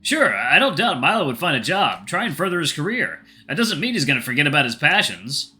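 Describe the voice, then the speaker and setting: A man's voice: fairly high pitch, big pitch swings, brisk, bright, forceful tone, voice pushed up, full character voice. A man voicing a polite young college athlete in an audio story, an earnest boyfriend who speaks a little hesitantly. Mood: earnest, firm.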